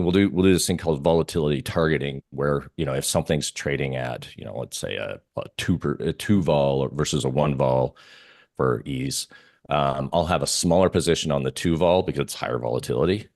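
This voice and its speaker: A man giving casual market commentary as an interview guest, explaining in halting phrases with frequent restarts. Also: very deep voice, creaky, darkish tone, no room sound, studio quality.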